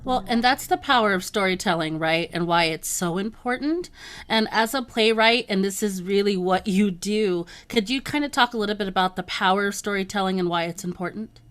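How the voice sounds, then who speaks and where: A woman's voice: medium pitch, fairly quick, a bit bright, clean tone. A woman hosting an interview, putting questions to her guest in a conversational way. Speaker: host, interviewer.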